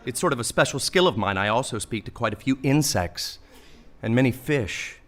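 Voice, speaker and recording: Male actor as earnest holy man, comic radio play, mid-pitched, lively swinging intonation, courteous, naive, apologetic. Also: normal speed, lightly acted. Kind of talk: comic audio play acting.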